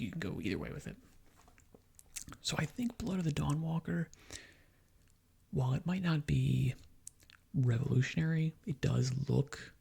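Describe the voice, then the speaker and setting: A man giving soft-spoken ASMR gaming commentary in a breathy half-whisper, rambling and opinionated in a conversational way. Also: medium pitch. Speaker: gaming video host.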